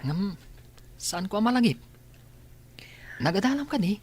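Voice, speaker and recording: A man playing a curious, polite businessman in a radio drama, soft-spoken and inquisitive. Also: sits high, expressive, measured pace, slightly rough, soft background music, heavily acted. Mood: curious, concerned.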